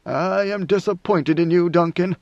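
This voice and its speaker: A man voicing an ancient, brooding male God Emperor who speaks in a slow, measured way, with weary authority. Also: sits high, a little mellow, no echo, pitched above the performer's own voice. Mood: stern. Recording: dry, slightly muffled recording.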